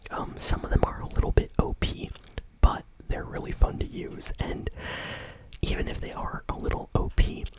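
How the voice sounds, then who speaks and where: A woman speaks entirely in a whisper, hosting an ASMR news show about games. Her delivery is soft and conversational, taking its time from phrase to phrase. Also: moderate pace. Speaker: host of a gaming news show.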